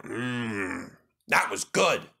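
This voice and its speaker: A man voicing a jovial but firm older gentleman who runs a railway in a children's storybook. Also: medium pitch, sing-song, very slow, vocal fry, full character voice. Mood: satisfied, firm.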